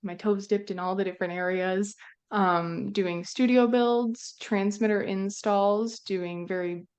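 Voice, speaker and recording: A woman's voice: medium pitch, steady tone, measured pace, a little mellow, clean tone. A young woman from central Minnesota, a broadcast engineer answering interview questions in a friendly way with frequent ums. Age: young adult. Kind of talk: conversational interview.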